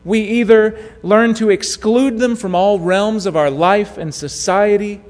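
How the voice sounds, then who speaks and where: A man preaching a sermon, telling a story in a measured way that drops to a hush at key moments. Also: high-pitched. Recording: long hall reverb.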